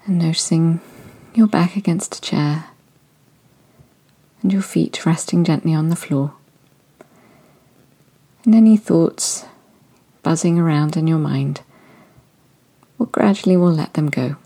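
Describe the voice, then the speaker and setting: A woman guiding a body-scan meditation in a soft, soothing voice that often drifts into whispers. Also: low voice, a little slow, pauses often, slightly rough. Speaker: meditation guide.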